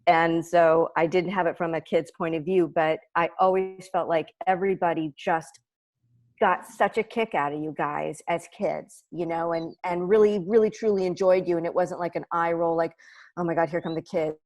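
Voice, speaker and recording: A woman's voice: fairly low pitch, fairly even intonation, normal speed, a little mellow. A middle-aged woman, an actor, chatting casually at a cast reunion. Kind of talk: casual chat.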